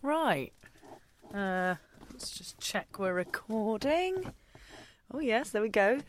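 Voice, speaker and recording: A woman's voice: medium pitch, big pitch swings, slow, slight background noise. A woman rambling casually in a self-deprecating, dryly joking way, a voice actor recording in a small cupboard full of coats. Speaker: voice actor in an audio fiction podcast. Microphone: pop shield, tripod-mounted recorder.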